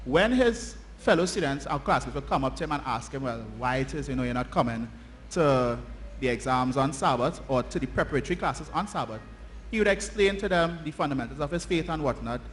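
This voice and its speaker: A slightly noisy live church recording of a man with a Trinidadian accent. He tells a story informally in a medium-pitched voice. His delivery is conversational, with short check-in questions to his listeners. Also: expressive, a little fast, fairly bright tone. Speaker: church children's story teller. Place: church, speaking to children and congregation.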